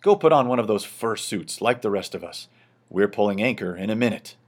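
A man's voice: sing-song, moderate pace, slightly rough. A man reading a storybook, voicing a bluff navy admiral who roars out commands. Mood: hearty commanding. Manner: loud, booming, commanding.

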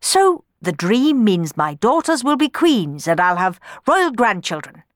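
A woman's voice: fairly high pitch, big pitch swings, a little slow, no room sound, clean studio sound, voice pushed up. A woman voicing a pompous, boastful middle-aged father telling his daughters about his dream in a storybook reading.

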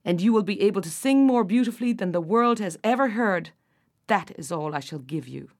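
A woman voicing a cruel, scheming witch stepmother queen in a storybook tale, speaking low, hushed and menacing. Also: animated, brisk, close to an everyday voice. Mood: cold.

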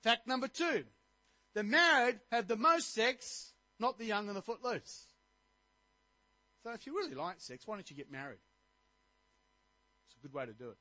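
A man's voice: high-pitched, very animated, moderate pace, long pauses, sharp tone, no echo. A middle-aged man, preaching a sermon as pastor, rising to emphatic points. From Australia.

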